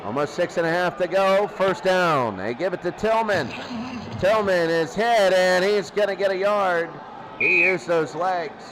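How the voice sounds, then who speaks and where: A man doing excitable play-by-play football commentary in a radio style, often repeating key phrases. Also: high-pitched, a little slow, noisy background.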